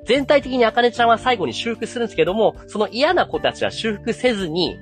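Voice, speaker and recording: A man hosts a casual podcast with soft music behind him. His voice sits a little high and leaps up and down dramatically as he explains a story, lingering on key phrases to build suspense. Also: big pitch swings, fast. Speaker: podcast host explaining an anime.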